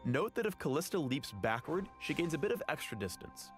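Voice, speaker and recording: A man's voice: a little high, sing-song, a little fast. A man narrating a scripted video game character spotlight as an explainer. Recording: loud music behind narration.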